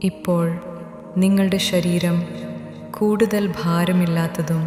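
A woman narrating a guided sleep meditation in a gentle, soothing, instructional manner. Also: on the low side, steady tone, slow. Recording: echoey hall reverb under music. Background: new-age meditation music.

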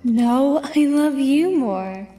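Woman doing sweet teasing girl pony, high voice, super slow, audio drama. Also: animated, mellow, exaggerated voice. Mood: playful affection. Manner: coy, teasing. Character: sweet teasing young mare, coy and affectionate. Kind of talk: fan audio drama acting. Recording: distant, music underneath.

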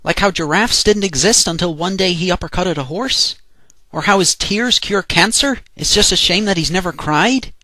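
Man as a bright, whiny young adventurer hero. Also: sits high, normal speed. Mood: cocky. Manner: whiny complaining, smug. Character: cocky, whiny young adventurer hero, male, dim-witted. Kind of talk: fan parody cartoon dub.

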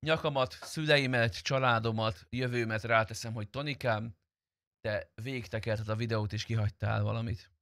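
A man's voice: medium pitch, fairly even intonation, a bit bright, no echo. Casual man streaming, close dry sound. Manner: informal, swearing, teasing. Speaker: game streamer. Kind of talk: casual live-stream chat during gameplay.

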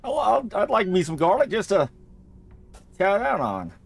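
Creaky-voiced man, animated gamer. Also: sits high, moderate pace. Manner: rambling, jokey, repeats himself. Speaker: gamer narrating a playthrough. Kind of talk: casual gameplay commentary.

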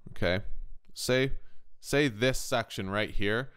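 A man with a lowish, smooth voice talks through a screen-recorded software tutorial. He is informal and conversational, reasoning aloud as he explains, and his tone stays clean and resonant. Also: slow pace. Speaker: music production tutorial host.

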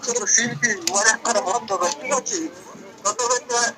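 A man's voice: very high-pitched, steady tone, normal speed, over a noisy crowd, rough recording. A man with a West Bengal accent chatting casually on the phone, questioning and insistent. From West Bengal, India. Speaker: prank call recipient.

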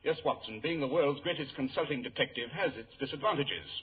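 A man's voice: mid-range voice, fairly quick, close to an everyday voice. A man playing a brilliant, imperious consulting detective with a British accent and clipped, precise delivery in a radio drama. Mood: irritable. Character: brisk, irritable, brilliant male consulting detective. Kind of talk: 1940s radio drama acting. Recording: tinny, hissy old radio recording.

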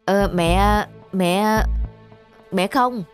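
A woman's voice: mid-range voice, drawn-out words, soft background music. A woman voicing a pushy, jealous, doting mother in a cartoon, with a fussy, bossy manner. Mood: flustered, guilty. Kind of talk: cartoon dubbing.

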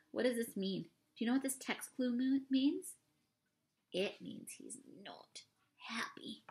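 A woman's voice: a little high, gravelly, fairly bright tone. A young woman reading a children's storybook aloud expressively, speaking to young listeners like a teacher. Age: young adult. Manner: deliberate, addresses young listeners with questions. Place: small indoor room.

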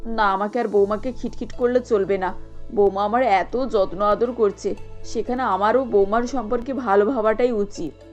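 A woman's voice: medium pitch, steady tone, normal speed, lo-fi sound, soft background music, lightly acted. A woman voicing a bossy but fond middle-aged mother-in-law in a cartoon, blunt and matronly. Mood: resolved. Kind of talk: Bengali cartoon family drama acting.

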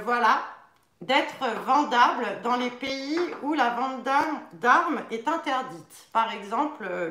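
Young woman, chatty demo talk, fairly high voice, echoey living room, camera across a table. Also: fairly bright tone. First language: French. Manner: chatty, many fillers, demonstrating while talking. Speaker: nunchaku instructor. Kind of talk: casual product demonstration live stream. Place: living room at home, indoors.

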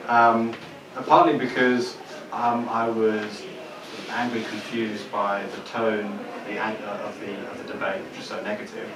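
A man's voice: lowish voice, flat delivery, measured pace. A man from London, an author on a panel, speaking hesitantly with many ums and repeated words. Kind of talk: panel discussion remarks. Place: seminar room with audience. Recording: distant, roomy, noisy.

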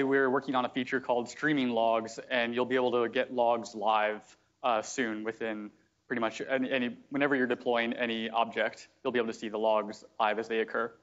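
A man explaining software step by step in a live technical demo at a meetup, with frequent fillers. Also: medium pitch, lo-fi sound. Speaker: software engineer giving a product demo. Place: meetup room at a company office.

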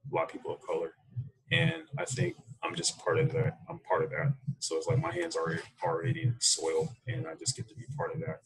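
A man with a very low, creaky voice and an American accent talks casually on a video call. His pitch moves around a lot as he thinks aloud in halting, reflective phrases. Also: a bit bright, rough recording. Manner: halting, thoughtful, unhurried phrasing. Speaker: interview guest, coffee roaster. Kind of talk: reflective casual interview talk. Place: small room indoors, video call. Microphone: computer mic on a video call.

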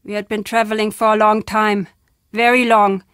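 A woman's voice: mid-range voice, little pitch movement, moderate pace, a bit bright, clear, resonant tone, no room sound, clean studio sound, natural voice. An actress playing a wry, fatalistic old woman storyteller in a video game, speaking dramatically. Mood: somber. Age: older. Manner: dramatic, emphatic storytelling with foreboding turns.

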